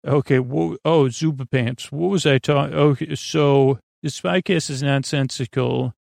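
Creaky-voiced man rambling haltingly, close and dry. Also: medium pitch, steady tone, a little mellow, dry recording. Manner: meandering, halting, tangential, absent-minded. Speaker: podcast host. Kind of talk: rambling sleep podcast monologue.

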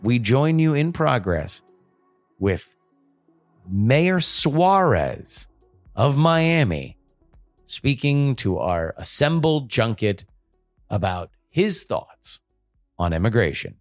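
A man's voice: medium pitch, big pitch swings, takes it slowly, long pauses, no room sound, clean studio sound, faint music behind. A man hosting a podcast, reading a scripted narrated introduction. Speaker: podcast host.

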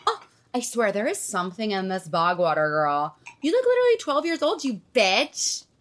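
A woman's voice: mid-range voice, very animated, normal speed, natural voice. A woman playing a brash Irish-aunt back from Boston, gushing in valley-girl slang and swearing. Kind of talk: comedy sketch acting.

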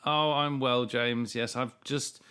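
A man's voice: medium pitch, steady tone, slow, fairly bright tone, smooth. A man co-hosting a podcast in casual chat, mock-enthusiastic and sarcastic, coming down with a cold and coughing at times. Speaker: podcast co-host.

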